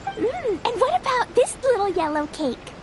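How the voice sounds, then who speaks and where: A woman's voice: very high-pitched, sing-song, moderate pace, music in the background. A woman voicing a sweet, wide-eyed little girl in a video game, eager and innocent, with childish wording. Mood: curious, hesitant. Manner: childish wording, gushing wonder, hesitant questions. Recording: loud noise behind the voice.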